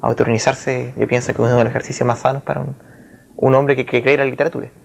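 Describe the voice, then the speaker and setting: A man speaking as a writer in a reflective literary interview, with formal wording and a halting, searching manner. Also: medium pitch, level tone, gravelly, slight background noise. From Chile.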